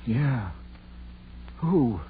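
An actor playing a shaken, folksy country innkeeper in a 1930s radio horror play, halting and awed, on an old tinny recording. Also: male voice, mid-range voice, lively intonation, slight background noise. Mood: shaken, uneasy. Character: shaken, slow-witted rustic innkeeper, stubborn and fearful.

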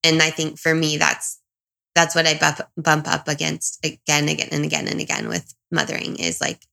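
A woman's voice: sits low, level tone, normal speed, creaky, pushed and bright. A woman in her thirties talking candidly about herself as an interview guest, in a reflective, confiding, self-questioning way. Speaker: interview guest, single parent. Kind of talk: candid personal conversation.

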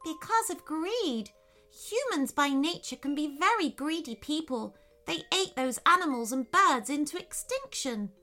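A woman voicing a tiny, calm and wise male firefly who teaches, speaking in a relaxed way. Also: sits high, unhurried, soft background music, voice pushed up, full character voice. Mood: serious.

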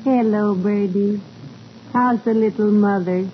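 A woman playing a patient, tender-hearted, sensible wife in old-time radio comedy, folksy and gently chiding. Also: medium pitch, steady tone, slow pace, natural voice. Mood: cooing, delighted. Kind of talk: 1940s-50s radio comedy acting. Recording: muffled, very noisy old radio recording.

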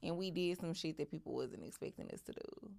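Woman, thirties, from Texas, lowish pitch, gravelly fry, candid casual podcast guest. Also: fairly quick, darkish tone. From Texas, United States. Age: 30s. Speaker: podcast guest and co-host of own show.

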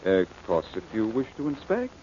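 A man's voice: fairly low pitch, wildly swooping pitch, a little fast, dark tone. A man playing a cocky, wisecracking amateur sleuth in 1940s radio style, glib and teasing. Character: cocky, wisecracking male amateur sleuth, cool and quick-witted. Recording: muffled old-time radio recording, faint noise.

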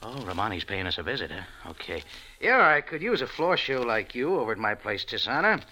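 An actor playing a wry, tough American cafe owner and reluctant sleuth, narrating and acting in a 1940s radio drama. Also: male voice, mid-range voice, big pitch swings, fairly quick, pushed and bright, full character voice, low-quality recording. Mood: casual, teasing. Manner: wry, laconic, hard-boiled. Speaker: lead character and narrator. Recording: old radio recording with music.

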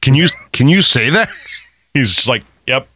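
A man's voice: mid-range voice, expressive, sharp tone, dry recording. Dry-voiced man in loose, profane chat. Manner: loose, profane, drawn-out delivery. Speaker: podcast co-host. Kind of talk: casual chat.

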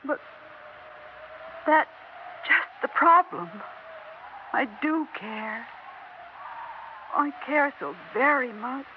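Older widow, anxious and accusing, swinging pitch, vintage radio play. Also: female voice, lively intonation, a little hiss or hum, heavily acted. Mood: pleading. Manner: slow, pleading, bitter. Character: anxious elderly widow Agatha, bitter yet pleading. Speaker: wife and mother. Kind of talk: 1960s radio drama acting. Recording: dull vintage radio recording.

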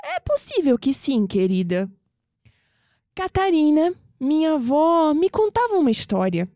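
A woman playing an affectionate old grandmother in an audio drama, tender and measured, with a Brazilian accent. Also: high-pitched, wildly swooping pitch, warm tone, no echo, studio quality, full character voice. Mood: reflective, gentle. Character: affectionate old grandmother, keeper of family stories.

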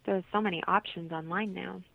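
Phone-like tinny sound, woman, creaky lowish voice, interview guest, conversational explaining. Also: fairly even intonation, a little fast. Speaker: interview guest, holistic practitioner. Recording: thin, tinny phone-like line.

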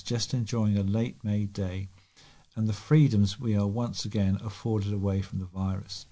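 A man's voice: on the low side, mellow. A man reading local newspaper articles aloud as a volunteer news reader, with the occasional stumble.

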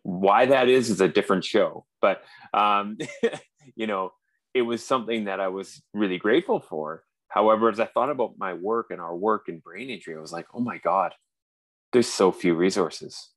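A man's voice: lowish voice, no room sound. A man in his forties with a Canadian accent, talking earnestly in a casual conversation and often restarting his sentences. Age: 40s. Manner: earnest, halting with frequent restarts. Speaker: podcast host.

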